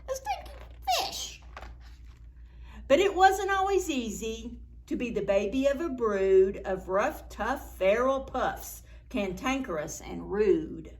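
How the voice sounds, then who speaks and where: A woman's voice: on the high side, big pitch swings, takes it slowly. A woman reading a picture book aloud to preschoolers as a story time host, expressively, with asides and questions to her young listeners.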